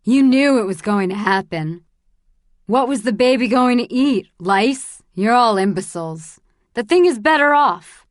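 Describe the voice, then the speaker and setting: A woman reading an audiobook, voicing a bitter, sneering older man who shouts harshly and scornfully. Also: on the high side, animated, measured pace, no room sound, clean studio sound.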